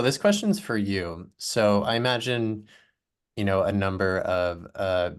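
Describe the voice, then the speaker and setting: A man moderating a webinar panel, asking friendly, quick questions with many fillers over a video call. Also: sits low, clean tone.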